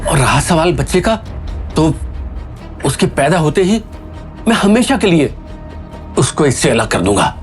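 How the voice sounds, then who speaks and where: Anxious, pleading father, swooping pitch. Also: sing-song, measured pace, pauses often, faint music behind. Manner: hesitant, pleading. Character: anxious, placating middle-aged father of the bride. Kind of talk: Hindi audio drama acting.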